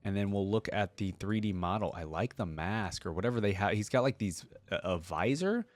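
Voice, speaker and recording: Low-voiced man giving casual reaction commentary. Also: lively intonation, fairly quick, no room sound, soft background music. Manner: rambling, thinking aloud, informal. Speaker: reaction video host.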